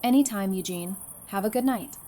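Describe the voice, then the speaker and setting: Audio drama role: woman acting as sincere, playful female survivor friend. Medium pitch, night insect noise behind. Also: normal speed, mellow, lightly acted.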